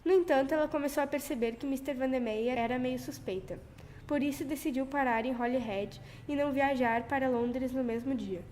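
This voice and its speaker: A woman's voice: high-pitched, darkish tone. A young teenage girl reading scripted narration for an amateur radio drama. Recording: noisy amateur school recording.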